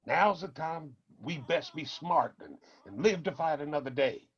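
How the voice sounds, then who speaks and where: An actor playing a weary, stern old mountain father in a radio drama, with an Appalachian accent and a husky, gravelly voice. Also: fairly high pitch, animated, measured pace, dull audio. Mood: firm, sorrowful.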